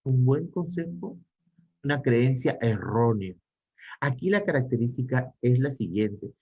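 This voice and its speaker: Brief: Peruvian male psychologist, mid-pitched, didactic lecturer asking rhetorical questions, slightly muffled audio. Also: expressive, unhurried, breathy edge. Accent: Peruvian Spanish. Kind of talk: online conference talk.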